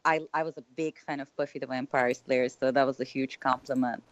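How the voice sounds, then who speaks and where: A woman's voice: extremely low pitch, fairly even intonation, fairly quick, dry recording, dull audio. A young woman from Turkey with a Turkish accent, chatting as a filmmaker guest in a casual interview and filling her talk with "like.". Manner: chatty, lots of "like" fillers.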